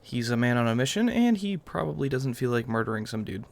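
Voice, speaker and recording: A man's voice: mid-range voice, big pitch swings, fast, gravelly. A young man giving casual let's-play commentary as a gaming video host, musing aloud in a rambling way over game music. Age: young adult.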